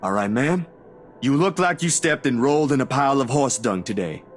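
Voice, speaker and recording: A man's voice: mid-range voice, lively intonation, normal speed, a little hiss or hum, lightly acted. An actor in a story game playing a simple, caring man who talks in a plain, polite, reassuring way. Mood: gently teasing. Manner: plain-spoken, reassuring, informal. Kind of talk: dramatic game dialogue.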